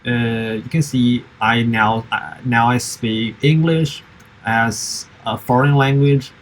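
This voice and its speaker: A man's voice: medium pitch, steady tone, slow pace, slight background noise, rough recording. A man whose first language is Chinese, giving a reflective spoken summary with frequent fillers and restarts. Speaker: summer institute participant.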